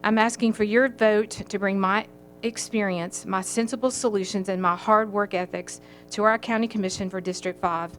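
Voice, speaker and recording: A woman with a Tennessee accent, a county commission candidate reading a prepared campaign statement in a steady, sincere way. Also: medium pitch, normal speed, slight background noise. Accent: Southern US, Tennessee. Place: county government meeting room.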